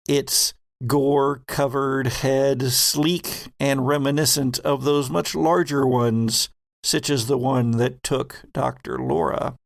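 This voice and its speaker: A man's voice: medium pitch, vocal fry, no room sound. A man narrating a horror tabletop roleplaying game as game master, in a descriptive storytelling manner.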